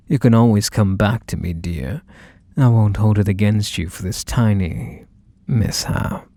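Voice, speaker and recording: Guy doing a possessive mafia boss asmr thing, dark mellow gravelly voice, slow n coaxing but kinda threatening. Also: fairly low pitch, no room sound, exaggerated voice. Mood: coaxing, tender. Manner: coaxing, drawn-out endearments, softly menacing. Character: possessive, manipulative male mafia boss, sweet-talking and menacing. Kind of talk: ASMR roleplay, intimate monologue to the listener.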